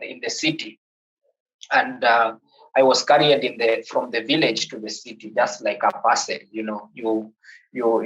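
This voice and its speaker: A man's voice: medium pitch, muffled recording. A man from Kenya, with a Kenyan accent, in his thirties, telling a podcast host his life story in a reflective way and often repeating words as he begins sentences. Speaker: guest.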